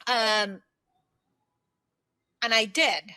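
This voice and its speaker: A woman's voice: fairly high pitch, extremely slow, pushed and bright, no echo, studio quality. A woman in her fifties giving reflective, conversational answers as an interview guest. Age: 50s. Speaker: podcast guest, former executive turned solopreneur.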